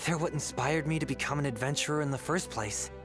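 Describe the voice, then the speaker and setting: An actor playing an earnest, modest young would-be adventurer in an anime-style game, boyish and eager. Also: male voice, a little high, close to an everyday voice. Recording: game music behind the voice.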